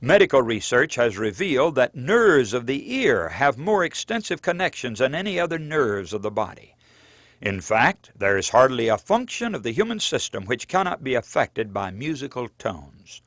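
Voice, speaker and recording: A man reading his own book aloud as scripted audiobook narration, in an earnest, measured preaching cadence. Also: mid-range voice, sing-song, a bit bright, no room sound.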